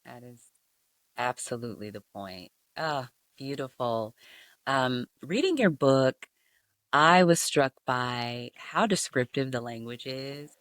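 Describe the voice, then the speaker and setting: A woman hosting a podcast interview, asking questions in a slow, affirming way. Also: a bass voice, animated, no echo. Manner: slow and affirming, with soft "yeah" and "mm-hmm" replies. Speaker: podcast host.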